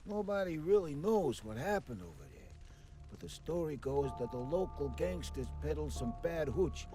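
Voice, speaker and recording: A wisecracking 1940s gangster henchman in a cartoonish game. His voice sits a bit high and he spins his stories in slangy, theatrical fashion. Music plays clearly underneath. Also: very animated, normal speed. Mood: ominous storytelling. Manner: slangy, streetwise, theatrical storytelling. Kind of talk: cartoonish video game cutscene acting. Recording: music behind the voice.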